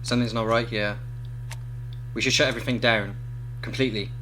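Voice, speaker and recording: A man's voice: mid-range voice, fairly even intonation, fairly quick, heavy background noise, lightly acted. A man playing an uneasy, sceptical 1930s television sound engineer in an audio drama, questioning and technical-minded.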